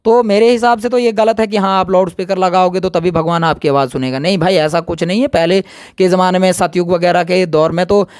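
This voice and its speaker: A man's voice: high-pitched, steady tone, a little fast, hardly any pauses, darkish tone, clear, resonant tone, no room sound, studio quality. A man giving his opinion in an impromptu interview, speaking emphatically and repeating his points. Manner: emphatic, repetitive.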